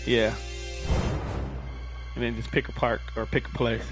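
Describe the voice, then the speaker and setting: Man, medium-pitched voice, slangy casual talk, laid-back game coaching, music playing behind. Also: level tone, unhurried. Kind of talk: casual chat while coaching a video game.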